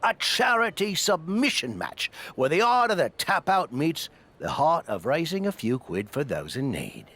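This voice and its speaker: A man voicing a jovial, persuasive pitchman in a wrestling video game, with a theatrical, lilting delivery. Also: sing-song, normal speed, hardly any pauses, bright, forceful tone, exaggerated voice. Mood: coaxing, enthusiastic.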